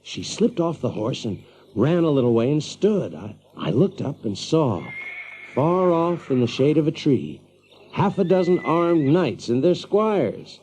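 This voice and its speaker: A man's voice: on the high side, sing-song, measured pace, warm tone, slight background noise. An actor playing a wry, exasperated Yankee man in an audio drama, folksy and hesitant as he talks. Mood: wry, excited. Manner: folksy, hesitant, chuckling, sardonic. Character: wry, exasperated Yankee man telling his tale in a medieval land. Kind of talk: audio drama acting and first-person storytelling.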